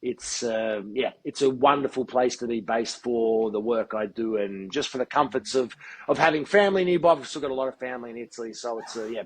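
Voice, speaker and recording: A man being interviewed as a guest, speaking in a measured, articulate and deliberate way. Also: mid-range voice, breathy edge, poor audio. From Australia. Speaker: guest, football TV presenter. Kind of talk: podcast interview.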